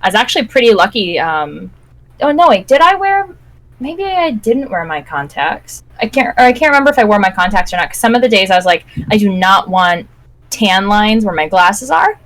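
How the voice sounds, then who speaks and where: Female podcast co-host, mid-pitched voice, conversational and anecdotal live-stream chat. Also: very animated, a little fast, fairly bright tone.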